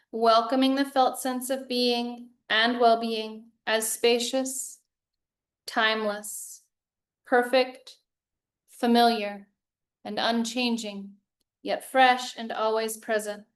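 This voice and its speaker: A woman leading a guided meditation as a yoga teacher, speaking in calm, measured, soothing phrases with long pauses. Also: on the high side.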